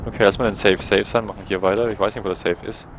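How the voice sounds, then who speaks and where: A man's voice: lowish voice, fairly even intonation, rapid-fire, slight background noise. A man with a cold giving rambling gameplay commentary over a headset mic. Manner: rambling, self-correcting. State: has a cold. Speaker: let's play host.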